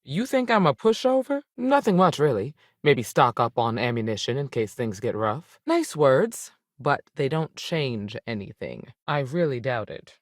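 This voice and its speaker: A woman's voice: extremely low pitch, lively intonation, a little slow, hardly any pauses, no echo, heavily acted. An actress playing a tough, no-nonsense space ranger and mother in a video game, firm, plainspoken and dry in manner. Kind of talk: video game dialogue acting.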